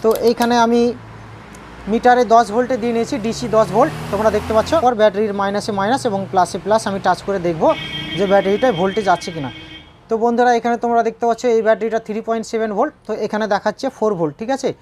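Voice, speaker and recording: A woman's voice: fairly high pitch, fairly even intonation, very fast, darkish tone, a little hiss or hum. A woman giving an informal hands-on repair tutorial, repeating points and often checking in with viewers. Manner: repetitive, checking in with viewers with tag questions.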